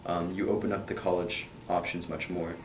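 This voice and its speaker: A man's voice: low-pitched, level tone, normal speed, echoey room, distant mic, slight background noise. A young man from Kentucky answering interview questions about his school in an earnest way, with plenty of hesitant fillers. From Kentucky, USA.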